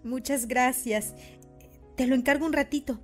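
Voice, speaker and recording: A woman's voice: sits high, normal speed, soft background music, close to an everyday voice. An actress playing a loving young Mexican village wife and mother in an audio drama, affectionate and sweet. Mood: tender.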